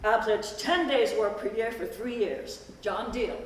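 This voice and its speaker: A woman's voice: on the high side, unhurried, close to an everyday voice. A woman playing plain-spoken rural townsfolk in a stage play, announcing pledges in a declarative manner. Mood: proud, declarative. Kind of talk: scripted stage play recitation. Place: stage performance at a festival. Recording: echoey hall, distant mic, noisy.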